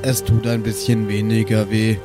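A man's voice: on the low side, level tone, normal speed. A man speaking casually as a player in an improvised tabletop game. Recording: music and rain sounds behind.

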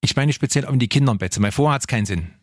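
A man's voice: mid-range voice, animated, racing, no echo, studio quality. Male coach, forties, informal explainer with tag questions, mid-range voice, very little room sound. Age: 40s. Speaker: fitness trainer, co-host. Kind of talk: casual explanatory coaching talk.

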